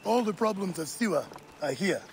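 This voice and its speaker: Expressive high-voiced gravelly loyal friend. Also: male voice, moderate pace, noisy background. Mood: earnest, worried. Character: warm, teasing, worldly village friend of a warrior, adult man. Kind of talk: video game cutscene acting.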